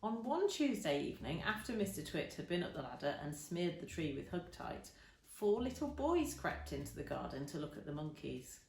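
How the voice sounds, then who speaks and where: A woman's voice: sits low, very animated, normal speed, vocal fry, a little mellow. Echoey woman reading a children's story. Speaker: storybook reader. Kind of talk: expressive children's story narration. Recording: roomy, echoing indoor sound.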